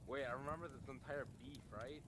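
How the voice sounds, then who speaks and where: A man's voice: mid-range voice, fairly even intonation, a little fast, background noise. A man in a casual chat, speaking bluntly and incredulously, with swearing.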